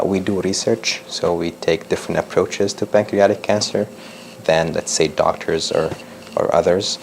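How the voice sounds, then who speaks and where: Deep, gravelly-voiced young man. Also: slight background noise. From Lebanon. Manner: hesitant, many ums and restarts. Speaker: PhD researcher giving a talk. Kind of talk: public science talk.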